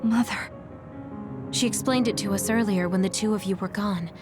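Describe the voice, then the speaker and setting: A woman's voice: medium pitch, moderate pace, over music, natural voice. A woman playing a composed, analytical young soldier in a video game, controlled and matter-of-fact. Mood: subdued.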